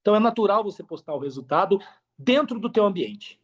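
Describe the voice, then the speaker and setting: A man's voice: high-pitched, lively intonation, fast. A Brazilian man telling his story as a podcast guest in an expansive, slangy way, repeating himself for emphasis. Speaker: podcast guest, affiliate marketer. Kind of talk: casual interview storytelling.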